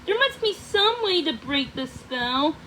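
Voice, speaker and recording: A woman voicing an impulsive young prince in love for a storybook, emphatic and halting. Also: sits high, big pitch swings, sharp tone, slight background noise, pitched above the performer's own voice, full character voice, low-quality recording. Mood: eager, ardent.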